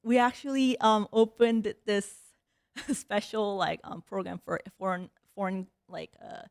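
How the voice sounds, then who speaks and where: A woman's voice: mid-range voice, fairly even intonation, unhurried, gravelly, clean tone, no room sound, clean studio sound. A young woman from South Korea, a guest in a casual interview, talking freely with lots of "like" and "um" fillers and frequent laughter. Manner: chatty, many "like" and "um" fillers, laughs often.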